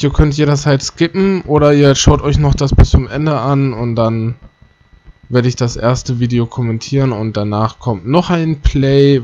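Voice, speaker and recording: A man's voice: medium pitch, darkish tone, muffled recording. A man giving casual let's play commentary, chatty and joking as he thinks aloud. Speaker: gaming YouTuber.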